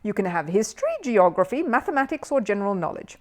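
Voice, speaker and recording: A woman's voice: mid-range voice, big pitch swings, fast talker, lightly acted. A woman voicing a showy, exasperated male quiz-show host in a storybook, emphatic and condescending as if announcing to a hall. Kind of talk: children's storybook character voice.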